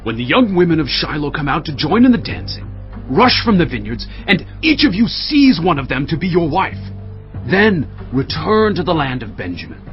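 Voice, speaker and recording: Animated, declamatory Israelite elder. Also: male voice, a little high, moderate pace, faint music behind. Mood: urgent instruction. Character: earnest male elder of the Israelite assembly. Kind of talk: dramatised scripture acting. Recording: dull, radio-like lo-fi sound.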